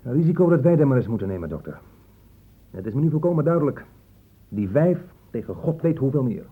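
An actor playing a cynical police inspector in a radio drama, blunt, sardonic and colloquial. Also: male voice, medium pitch, big pitch swings, quick pace, a little hiss or hum, lightly acted. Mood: matter-of-fact. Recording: muffled phone-like old radio recording.

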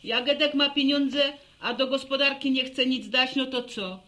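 A woman's voice: high voice, steady tone, moderate pace, sharp tone. An actress playing a fretful, plain-spoken middle-aged village mother in a radio drama, fussing and exclaiming. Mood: earnest. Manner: exclaiming, fussing, sighing over family. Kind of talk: naturalistic radio drama acting. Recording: roomy, distant radio recording, faint noise.

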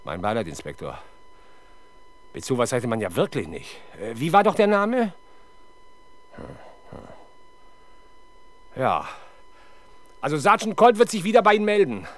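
An actor playing a world-weary, dutiful police inspector in a 1970s German radio crime drama, formal and halting in manner. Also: male voice, medium pitch, wildly swooping pitch, fairly bright tone. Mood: subdued, polite. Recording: 1970s radio drama, clear background noise.

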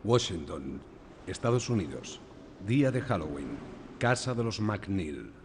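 Very deep male radio drama narrator. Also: very animated, a little slow, noisy background. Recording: music behind the voice.

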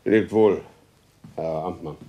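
An actor playing a proud, stubborn, wronged horse dealer in a radio drama, speaking in formal, insistent old-fashioned language. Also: male voice, deep voice, takes it slowly, highs cut off. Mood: firm.

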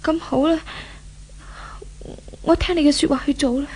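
A woman's voice: high voice, fairly even intonation, normal speed, darkish tone, lightly acted, poor audio. A woman with a Hong Kong Cantonese accent playing a timid, repentant young woman, earnest and theatrical in a 1970s radio drama. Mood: grateful. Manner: earnest, wondering, theatrical phrasing.

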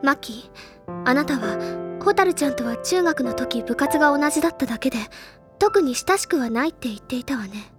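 High voiced bookish schoolgirl, articulate and dramatic like she's telling a tale, loud music under it, audio drama. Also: expressive, normal speed. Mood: probing. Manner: articulate, dramatic, recounts like a storyteller. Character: earnest bookish schoolgirl, senior student, probing and eloquent. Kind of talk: Japanese audio drama acting, literary storytelling.

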